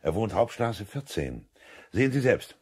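An actor playing a brisk, businesslike police inspector in a 1970s radio play, speaking in an official, insistent manner. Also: male voice, fairly low pitch, sing-song, a little mellow, husky.

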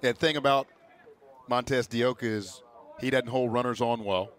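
A man's voice: mid-range voice, normal speed. A man giving conversational analysis as a color commentator on a live baseball broadcast.

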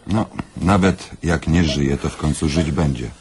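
An actor playing a pedantic, matter-of-fact surgeon in a radio drama. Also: male voice, extremely low pitch, measured pace, darkish tone, slight background noise. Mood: dry.

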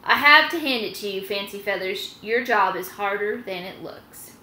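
Woman reader as a vain storybook hen, excitable, gushing, animated swoops, bright tone, roomy recording. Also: medium pitch, moderate pace, a little hiss or hum. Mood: weary, grudging. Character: vain, excitable hens, female, gossipy and showy.

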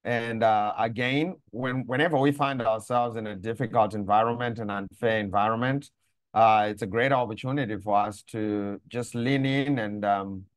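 Low-voiced pastor from Zimbabwe, halting. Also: male voice, steady tone, no room sound. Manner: measured, many filler pauses. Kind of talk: conversational faith teaching on a video call. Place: room indoors. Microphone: lapel mic on a video call.

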